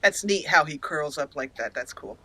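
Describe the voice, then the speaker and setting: A woman hosting a let's play, narrating it as an expressive storyteller and speaking for her character. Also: deep voice, moderate pace. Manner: expressive storyteller, acting out lines. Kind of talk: roleplay storytelling over gameplay.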